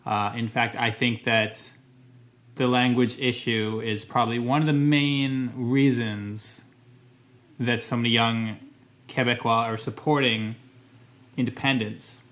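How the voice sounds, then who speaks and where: A man's voice: mid-range voice, steady tone, a little slow, a bit bright. Thoughtful Canadian man vlogging. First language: English. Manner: thoughtful, hedging, frequent restarts. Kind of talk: casual talk to camera. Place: Montreal.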